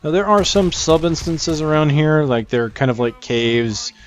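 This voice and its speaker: A man's voice: a little high, expressive, unhurried. A man giving casual gameplay commentary as a video host, informal and thinking aloud. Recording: faint game music behind. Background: game music.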